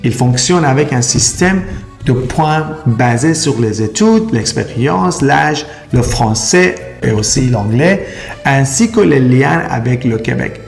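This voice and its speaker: Gravelly-voiced man. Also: medium pitch, lively intonation, takes it slowly, soft background music. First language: Persian and Turkish. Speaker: immigration consultant. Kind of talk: explainer video to camera.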